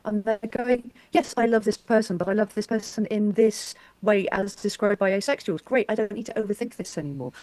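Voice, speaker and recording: A woman's voice: medium pitch, brisk, hardly any pauses, a little mellow, dry recording. A middle-aged woman interviewing in a casual, conversational style, wry, with quoted asides.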